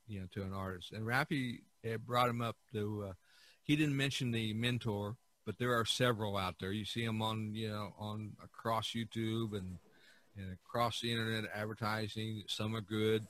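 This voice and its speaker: A man hosting a casual podcast discussion, talking in a halting, rambling way. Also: on the low side, pushed and bright. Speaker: podcast host.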